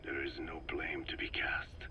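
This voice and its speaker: An actor playing an elderly scientist in a video game, speaking in a voiceless, raspy whisper with measured, precise, formal phrasing. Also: male voice, extremely low pitch, flat delivery. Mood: grave, measured. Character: elderly male scientist explaining grim parasite research calmly. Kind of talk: dramatic video game voice acting.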